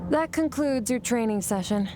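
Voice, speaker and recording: A woman voicing a stern, composed female sword master in a video game, speaking in measured, matter-of-fact instruction. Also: on the high side, expressive, over loud music, natural voice. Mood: cool, dry. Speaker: sword mentor. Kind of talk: scripted video game voice acting.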